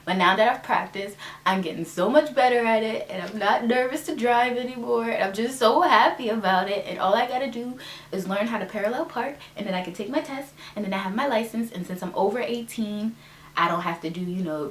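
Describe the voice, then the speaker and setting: A woman's voice: medium pitch, brisk, roomy sound, a little hiss or hum. A woman in her twenties with a stuffed-up nose, chatting casually and upbeat as a vlogger showing her things. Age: 20s. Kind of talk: casual show-and-tell chat. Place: her bedroom.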